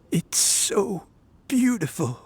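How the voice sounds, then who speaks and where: Very slow, husky old grandfather. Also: high voice, exaggerated voice. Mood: awed. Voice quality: husky, breathy. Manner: halting, gentle, savouring words. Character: kindly, sentimental elderly grandfather, deeply moved. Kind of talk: video game voice acting.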